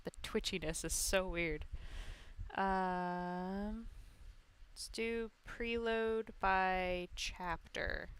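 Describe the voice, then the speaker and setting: A woman's voice: medium pitch, fairly even intonation, extremely slow, clear, resonant tone. A woman doing casual gameplay commentary, giggly and muttering to herself as she reads things off the screen. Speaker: streamer playing a game.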